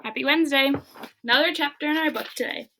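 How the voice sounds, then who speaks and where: A woman reading a children's book aloud in an expressive way, with casual chat to her listeners. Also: high-pitched, fast, slight background noise.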